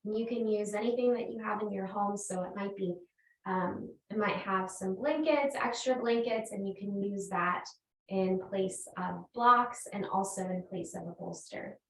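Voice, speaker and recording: A woman yoga teacher guiding a restorative class in a gentle, unhurried, invitational way. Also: medium pitch. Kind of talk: slow guided restorative yoga instruction. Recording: roomy echo, distant microphone.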